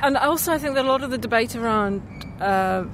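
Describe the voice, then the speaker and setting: Woman chatting outdoors over clear traffic noise. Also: a little high, animated, a little fast, a crowd in the background. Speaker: skeptic speaker being interviewed. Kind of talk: casual conversational interview. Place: outdoors in a park.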